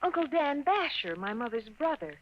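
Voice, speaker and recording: An actress playing a prim, easily offended fiancée in a 1950s radio comedy, proper and scolding. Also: female voice, sits high, very animated, moderate pace. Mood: cool reproach. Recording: dull, hissy old radio broadcast.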